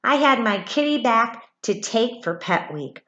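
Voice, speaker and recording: A middle-aged woman reads a children's book aloud like a teacher, expressively, pausing for asides and questions to young listeners. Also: a little high, dull audio. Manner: expressive, with dramatic gasps and thoughtful hums. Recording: roomy, echoey indoor sound.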